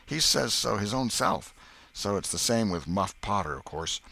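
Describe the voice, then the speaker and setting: Man playing a husky, blunt rustic boy. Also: on the low side, expressive, normal speed, pushed and bright, voice pushed up, exaggerated voice. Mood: reasoning. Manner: opinionated, slangy rustic speech. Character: worldly, blunt, wary ragged village boy.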